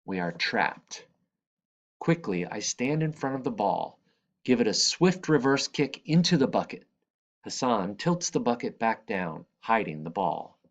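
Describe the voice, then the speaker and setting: A man reads a children's story aloud in a fairly low voice. His delivery is slow and expressive, with deliberate, dramatic phrasing. The recording is a little dull and lo-fi. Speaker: reader of a children's picture book. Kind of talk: expressive read-aloud narration.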